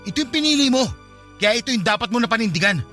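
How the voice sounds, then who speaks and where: A cold, high-voiced married lover. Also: male voice, lively intonation, fast talker, sharp tone, faint music behind, exaggerated voice. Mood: angry, stern. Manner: argumentative, blaming. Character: cold, defensive married lover refusing responsibility. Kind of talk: Filipino radio drama acting.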